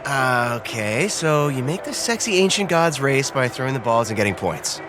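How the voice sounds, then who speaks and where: A man's voice: medium pitch, very animated, moderate pace, over music, natural voice. An actor playing an awkward, easily embarrassed young man on a playful date, talking hesitantly and naturally in a romcom audio drama. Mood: excited. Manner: hesitant, bashful, conversational.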